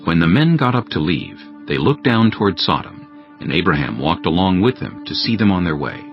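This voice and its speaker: Deep, gravelly male scripture narrator. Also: sing-song, fairly quick, highs cut off, faint music behind.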